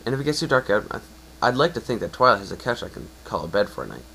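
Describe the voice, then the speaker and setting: A man's voice: mid-range voice, very animated, very fast, creaky, sharp tone, a little hiss or hum, full character voice, rough recording. A man voicing an easygoing, considerate young male pony with friendly, reassuring speech in a fan story reading.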